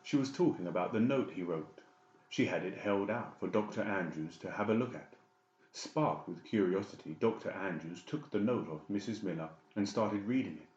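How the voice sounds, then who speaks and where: Low-voiced male narrator, echoey room. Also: normal speed, darkish tone. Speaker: audiobook narrator. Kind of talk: dramatic scripted narration. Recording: dull, echoey room recording.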